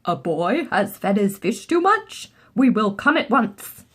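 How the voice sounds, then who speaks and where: A woman voicing a brisk, urgent fireman in a storybook, calling out orders loudly and emphatically. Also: mid-range voice, sing-song, slightly rough, heavily acted.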